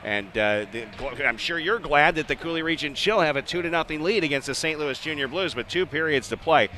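A man's voice: a little high, expressive, fairly quick, bright, forceful tone. A man with an American accent calling live ice hockey play-by-play from an arena in a conversational, chatty way. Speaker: play-by-play sports announcer. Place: rink-side in an indoor ice arena. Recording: clear arena ambience noise behind the voice.

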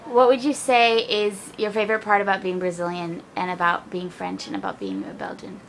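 A woman's voice: mid-range voice, lively intonation, a little fast, slight background noise, low-quality recording. A woman interviewer asking short questions from behind the camera. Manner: brief, questioning.

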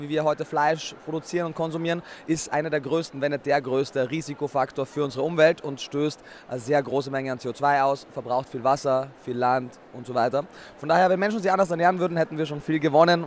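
A man's voice: on the high side, a little hiss or hum. A young adult man from Austria, answering interview questions fluently at an indoor expo booth into a handheld mic, often chuckling before he answers. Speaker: interview guest, nutrition expert.

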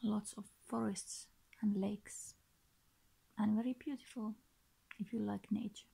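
A woman in her thirties with a Finnish accent, talking casually and hesitantly to the camera in short, halting, breathy phrases. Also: mid-range voice, flat delivery, dark tone, rough recording. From Finland. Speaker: vlogger introducing her channel. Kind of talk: casual unscripted talk to camera. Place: small bedroom, indoors. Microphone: built-in device mic, close to face.

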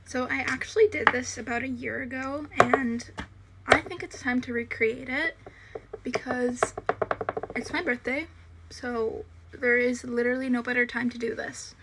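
A woman's voice: high-pitched, fairly even intonation, measured pace, very noisy. An eighteen-year-old woman from Italy chatting casually while doing her makeup in her bedroom, filming herself on a phone held close. She rambles a bit and leans on fillers. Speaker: vlogger. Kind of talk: casual get-ready-with-me chat. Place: small bedroom indoors. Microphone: phone camera at arm's length.

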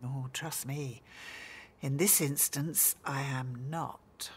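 A woman's voice: a bass voice, steady tone, slow, slight background noise. A woman acting in a radio soap as a prying customer, arch, formal and falsely polite. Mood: smug.

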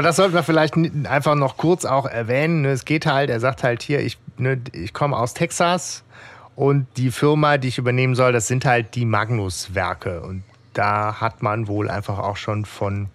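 A man's voice: mid-range voice, normal speed, fairly bright tone, dry recording. A man hosting a podcast in a casual, colloquial way, guiding the discussion along. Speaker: podcast host. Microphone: close mic on a remote internet call.

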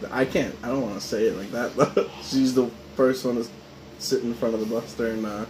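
A man's voice: medium pitch, steady tone, a little fast. A young man in casual vlog chat, answering quiz questions across a table. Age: young adult. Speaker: channel host and quiz contestant. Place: home dining room, indoors. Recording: noisy room, camera across table.

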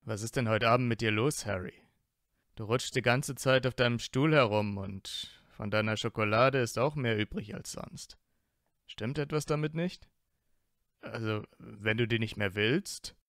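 A man's voice: mid-range voice, unhurried, fairly bright tone, no room sound. Self-assured teenage wizard played by a man, teasing and probing, close dry sound, fan audio drama.

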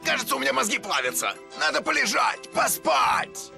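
A big, dim cartoon beast, shouting in a very high, gravelly voice. It is excitable and exclamatory, with music clearly behind it. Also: male voice, moderate pace, exaggerated voice. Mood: dazed, excitable. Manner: loud, exclamatory. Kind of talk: cartoon video game dubbing.

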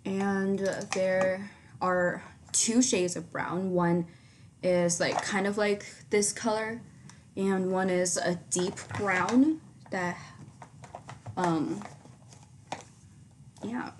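A teenage girl chatting casually through her makeup routine in a small bedroom, rambling with lots of ums and likes, her voice fairly low for her age. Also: fairly even intonation, drawn-out words, pauses often, slight background noise. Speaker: beauty vlogger. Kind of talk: casual makeup tutorial chat.

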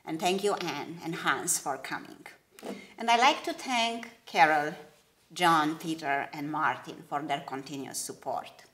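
A middle-aged woman with a Romanian accent giving a gracious, measured acceptance speech at a podium. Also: low voice, fairly bright tone, a little hiss or hum. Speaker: award recipient giving a lecture. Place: wood-panelled lecture hall. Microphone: podium microphone.

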